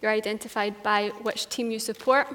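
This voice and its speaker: A woman's voice: medium pitch, fairly even intonation, normal speed, a bit bright. A woman from Scotland with a Scottish accent, speaking as a panel guest in a direct, lightly humorous way. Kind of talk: conversational panel talk. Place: conference stage.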